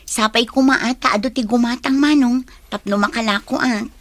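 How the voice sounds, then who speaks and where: Actress as young Filipino vendor woman, high-voiced. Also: moderate pace, dry recording, close to an everyday voice. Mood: polite, friendly. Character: young woman street vendor, dutiful, polite, hopeful. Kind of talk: Filipino radio drama acting.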